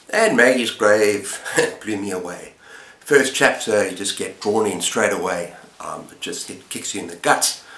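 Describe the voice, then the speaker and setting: A man's voice: on the low side, a bit bright. A middle-aged man chatting casually as the host of a book-review vlog. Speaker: booktuber host. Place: indoor room lined with bookshelves. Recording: roomy, echoey indoor sound.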